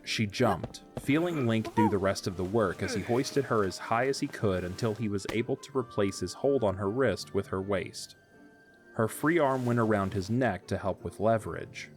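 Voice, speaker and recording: A man narrating an audio drama in a measured storytelling delivery. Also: lowish voice, soft background music.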